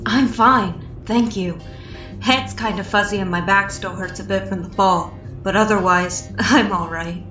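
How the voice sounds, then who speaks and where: A woman's voice: mid-range voice, unhurried, fairly bright tone, reverberant, far from the mic, natural voice. A woman voicing a weary but polite young male adventurer in a comic fan dub. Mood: hesitant, mild. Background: music.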